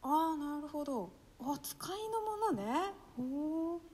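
A woman's voice: sits high, very animated, takes it slowly, crowd noise. A woman hosting casual radio banter, explaining things in a playful mock-formal, exclamatory way. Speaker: radio show host, stage actor.

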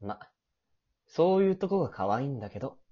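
A woman playing a clingy, teasing, possessive teenage boy in love, with a husky, breathy, languid voice, in an amateur romantic audio drama. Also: a bass voice, sing-song, fairly quick, heavily acted. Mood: sly. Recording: dull, lo-fi home recording.

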